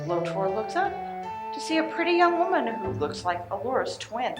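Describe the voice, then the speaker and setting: Woman narrating a radio play, higher voice, roomy, faint music. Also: very animated, moderate pace. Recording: echoey live room, faint music.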